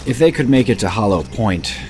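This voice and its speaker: A man's voice: lowish voice, animated, a little fast, noisy background. A man reading scripted narration for a fantasy audio drama. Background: music.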